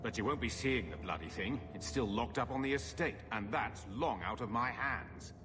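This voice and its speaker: An actor playing a sour, shifty middle-aged uncle who answers curtly. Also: male voice, medium pitch, normal speed, close to an everyday voice. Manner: curt, defensive. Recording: game audio with music, reverb.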